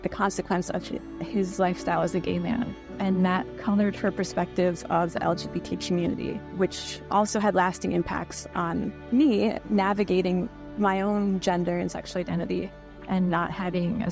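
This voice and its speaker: A woman's voice: lowish voice, steady tone, normal speed, gravelly, music in the background. A woman from Michigan speaking in an earnest, thoughtful way in an interview testimonial. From Michigan, United States. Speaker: employee.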